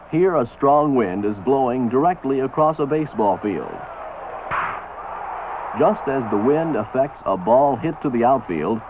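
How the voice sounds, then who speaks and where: Male American instructional narrator, measured and commanding, animated intonation, phone-like vintage film sound. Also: mid-range voice. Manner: authoritative, measured, didactic. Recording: tinny band-limited old film soundtrack. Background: voice-over for an old military training film.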